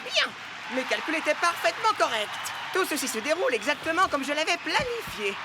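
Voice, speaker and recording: A woman voicing an eccentric, fussy cartoon genius inventor who talks to themselves theatrically, with loud music underneath. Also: high voice, big pitch swings, fairly quick, noisy background. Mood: pleased, absorbed. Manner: theatrical muttering and self-talk.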